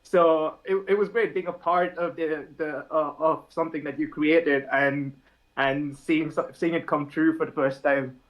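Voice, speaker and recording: Young male podcast guest from India, easygoing and reflective, slightly higher voice, pauses to restart his thoughts. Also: lo-fi sound. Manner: relaxed, thoughtful, with frequent fillers and restarts. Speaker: podcast guest, app founder. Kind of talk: casual podcast chat.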